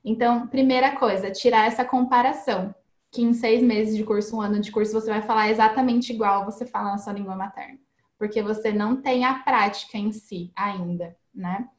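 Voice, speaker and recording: A woman's voice: medium pitch, fairly even intonation, fairly quick, reverberant. A woman guest giving casual, chatty advice on learning English, explaining with everyday examples and frequent tag questions.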